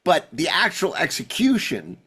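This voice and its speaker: A man hosting a podcast, chatting casually about sci-fi television in a rambling, excitable way with stammered repeats. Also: fairly high pitch, moderate pace, slightly rough. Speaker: podcast host.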